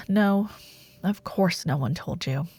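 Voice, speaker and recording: A woman playing a taunting, imperious dark warlord sorceress in a roleplay audio drama, speaking in a theatrical, sardonic way with lingering pauses. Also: lowish voice, expressive, slightly rough, no room sound, heavily acted. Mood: probing, smug.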